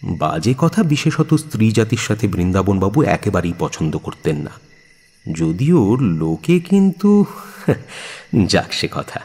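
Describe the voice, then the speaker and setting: Expressive male storyteller in long reverb. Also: lowish voice, unhurried, pauses often, slightly rough. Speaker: narrator. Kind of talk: dramatic literary story narration in Bengali. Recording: long reverb, music behind. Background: music.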